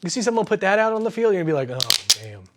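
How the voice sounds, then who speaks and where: A man's voice: high voice, lively intonation, racing, background noise. A man hosting an unboxing show in casual, slangy, enthusiastic chat full of exclamations.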